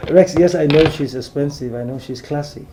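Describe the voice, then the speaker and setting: A man's voice: fairly high pitch, background noise. A man with a Nigerian accent playing an easygoing young crown prince in a naturalistic film drama. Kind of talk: naturalistic Nollywood film acting.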